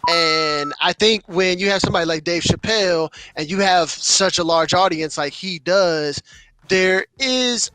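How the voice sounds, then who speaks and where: A man co-hosting a casual podcast, talking through his views in a measured way with frequent fillers. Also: sits high, sharp tone, smooth, no room sound, low-quality recording. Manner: measured, thinking aloud with many fillers. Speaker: podcast co-host.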